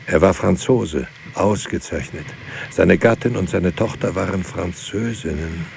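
An actor playing a grave narrator in a literary radio drama, speaking in a solemn, measured way. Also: male voice, deep voice, gravelly, slight background noise. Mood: grave, matter-of-fact. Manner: solemn, measured, terse sentences. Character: grave male narrator recounting lives and losses.

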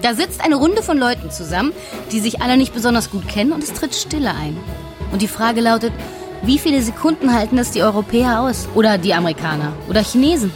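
A woman's voice: on the high side, lively intonation, quick pace, close to an everyday voice. An actress playing an opinionated European expat woman, conversational, emphatic and blunt, in a naturalistic radio play. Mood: explanatory. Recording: music behind the voice.

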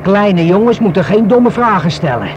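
A man's voice: sits high, animated, normal speed, dull audio. An actor playing a wary, rueful young man confiding in the police in a radio drama, colloquial and hesitant. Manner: hesitant, colloquial, self-reproaching. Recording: noisy old radio play recording.

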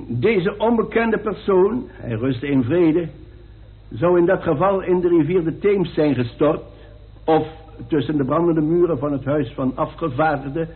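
An actor playing a formal, solemn coroner in a radio play, speaking in a pompous, deliberate, ceremonial way. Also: male voice, fairly high pitch. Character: formal, solemn male coroner of the royal household. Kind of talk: radio drama acting, ceremonial speech. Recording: tinny band-limited old radio recording.

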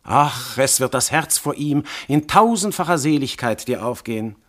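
An actor playing a commanding, probing god disguised as a mortal husband and lover, speaking declamatory classical German verse in a radio play. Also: male voice, medium pitch, lively intonation, moderate pace, close to an everyday voice. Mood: coaxing. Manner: impassioned, declamatory, theatrical delivery with wide swells.

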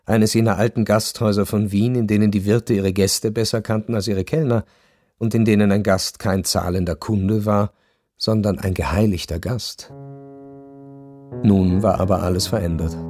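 A man narrating a first-person literary radio drama in a measured, reflective way. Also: on the low side, fairly even intonation, darkish tone, no room sound, clean studio sound, soft background music.

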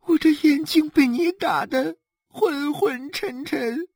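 Sobbing, frightened wife in an audiobook drama: very high voice, slow faltering lament, close dry sound. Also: female voice, darkish tone, dry recording. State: crying. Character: wailing, wronged, frightened wife and mother.

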